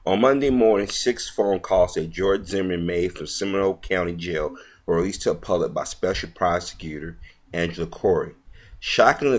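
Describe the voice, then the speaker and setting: A man reading news aloud as an online commentator, stumbling over words here and there and speaking in an earnest, opinionated way. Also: low voice.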